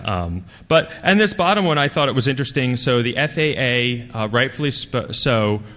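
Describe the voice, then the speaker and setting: A man giving an informal technical talk as a conference speaker, with a halting, rambling, conversational delivery. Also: fairly low pitch, a bit bright. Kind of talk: informal technical presentation to an audience. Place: large conference hall, speaking to a live audience. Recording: reverberant hall sound.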